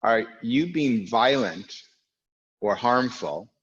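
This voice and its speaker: A man guiding an online yoga class, with a loud, strong voice, calm and reflective, speaking in unhurried phrases with pauses. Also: mid-range voice, highs cut off. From United States. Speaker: yoga teacher. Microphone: recorded for an online class video.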